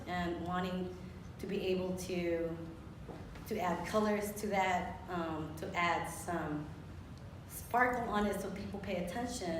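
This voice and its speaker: A woman's voice: on the low side, background noise. A woman from the Philippines, a muralist on a panel, speaking earnestly and reflectively with many fillers. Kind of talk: panel discussion. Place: theater with audience. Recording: echoey theater from far off.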